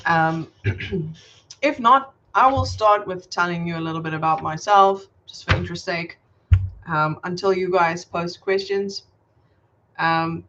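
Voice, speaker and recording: A native Afrikaans speaker from South Africa chats on a casual livestream, patiently explaining words and answering viewers. The voice sits at a middle pitch. The audio is a little dull and muffled. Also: pushed and bright, a little hiss or hum. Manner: friendly, explaining patiently, reading viewer comments aloud. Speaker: channel host answering viewer questions. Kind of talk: casual livestream chat and language tips.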